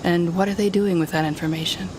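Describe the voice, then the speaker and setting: A woman playing a smug, controlling telepathic villain in an audio roleplay, taunting the listener in a deliberate, condescending manner. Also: fairly low pitch, steady tone, background noise, natural voice. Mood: cold, persuasive.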